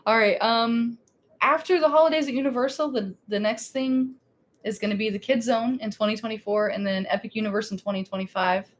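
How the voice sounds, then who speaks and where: A woman's voice: a little high, steady tone, a little fast. A woman co-hosting a casual theme park live stream, chatty and laughing, rambling with restarts.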